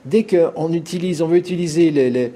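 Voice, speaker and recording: A man argues his point in an interview, pressing on and stumbling over restarts. His voice is husky and dark, pitched a little high. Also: fairly quick. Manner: insistent, restarts and repeats himself. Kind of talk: interview answer.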